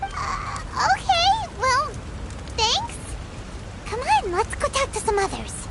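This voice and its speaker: A woman voicing a tiny, excitable floating companion in a video game, with a squeaky, bouncy and exclamatory way of talking. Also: takes it slowly, exaggerated voice. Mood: flustered. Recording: loud noise and game music.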